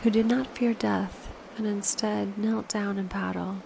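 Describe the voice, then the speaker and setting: A woman leading a slow guided meditation, speaking softly and unhurriedly in short phrases with pauses, often posing reflective questions. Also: medium pitch, steady tone, vocal fry, a little hiss or hum, poor audio.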